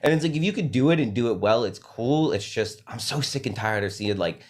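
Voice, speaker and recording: A man's voice: medium pitch, animated, a little fast. A man talking casually as a comedian guest on a podcast, telling anecdotes in a conversational, rambling way.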